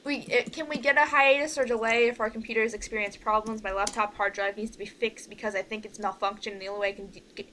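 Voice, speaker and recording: A woman's voice: medium pitch, steady tone, quick pace, few pauses, bright, forceful tone. A young adult woman hosting a casual online group meeting, rambling and often restarting her sentences. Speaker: host leading an online cast meeting. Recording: noisy home mic with keyboard typing.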